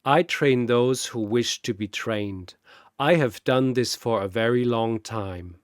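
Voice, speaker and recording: Man playing a slow, eerily patient immortal being. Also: mid-range voice, dry recording, clean studio sound, natural voice. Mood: serene, unhurried. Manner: calm, unhurried, terse. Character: Mister Popo, patient unsettling smiling immortal being.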